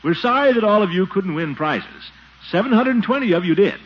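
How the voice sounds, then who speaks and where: A high-pitched, jolly, flustered fellow. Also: male voice, expressive, fairly quick. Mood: jovial, grateful. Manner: chuckling, exclaiming, theatrical. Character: jolly, flustered middle-aged man doting on a baby. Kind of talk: 1940s radio comedy acting. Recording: noisy, dull 1940s radio recording.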